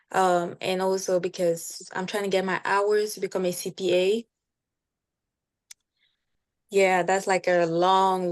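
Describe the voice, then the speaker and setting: A young woman from Cameroon introducing herself on a video call, shy and hesitant with lots of fillers. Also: on the low side. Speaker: networking call participant. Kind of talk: casual self-introduction.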